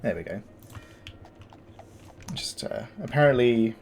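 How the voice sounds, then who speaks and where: A man with a gravelly morning voice, casually commenting on his game as he plays, thinking aloud with fillers and restarts. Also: mid-range voice, lively intonation, slow pace, darkish tone, soft background music. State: tired morning voice. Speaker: gameplay commentator. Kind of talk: casual let's-play commentary.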